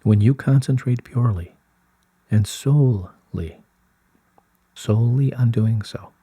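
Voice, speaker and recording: A man giving a slow scripted reading of spiritual guidance in a solemn, measured, soothing way, with a soft, breathy voice. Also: fairly low pitch, no room sound. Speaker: reader of channelled spiritual messages.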